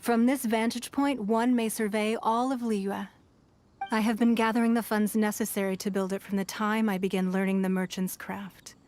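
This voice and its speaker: A woman's voice: mid-range voice, little pitch movement, a little mellow, close to an everyday voice. A woman acting as a poised, shrewd woman leader. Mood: gracious, proud. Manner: poised, formal, measured. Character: composed, wealthy, powerful woman leader, shrewd and courteous. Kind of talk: scripted video game cutscene dialogue.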